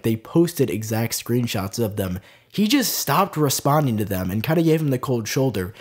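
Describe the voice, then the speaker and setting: A young man with a stuffy nose from a cold, hosting a casual tech news vlog in a chatty way with plenty of fillers. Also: mid-range voice. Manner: chatty, lively, lots of "like" fillers. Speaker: tech video host.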